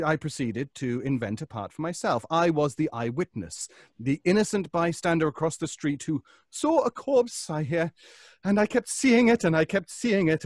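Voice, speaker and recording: A man's voice: a little high, no room sound, studio quality. A man playing a vengeful, mad failed actor in old-time radio suspense style, taunting his trapped rival with theatrical mock courtesy.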